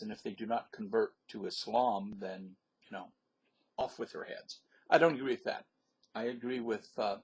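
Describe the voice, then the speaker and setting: A man's voice: on the low side, creaky, slightly rough, rough recording. A man from the southern United States talking in a casual, rambling monologue in a put-on accent, hesitant and full of fillers. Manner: hesitant, many fillers and restarts; talks in a put-on, not his own accent. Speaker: vlogger.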